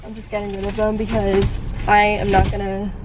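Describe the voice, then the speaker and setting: A woman's voice: medium pitch, monotone, a little fast. A young woman with a cold talks to a dashboard camera, her voice stuffy and nasal from congestion. She rambles in a chatty, easygoing way, with lots of filler words. Heavy car and road noise sits underneath her. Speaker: vlogger. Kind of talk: casual chat to camera. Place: parked car, indoors of vehicle. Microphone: dashboard camera facing her.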